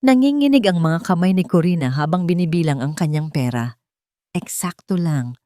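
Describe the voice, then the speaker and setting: A woman's voice: lowish voice, expressive, normal speed, warm tone, no echo, studio quality. A woman with a Filipino accent telling a scripted story as a dramatic narrator.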